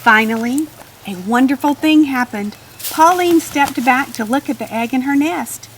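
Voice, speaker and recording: A woman narrating a children's storybook aloud in an expressive, measured read-aloud style. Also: high voice, a little hiss or hum, rough recording. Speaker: storybook reader.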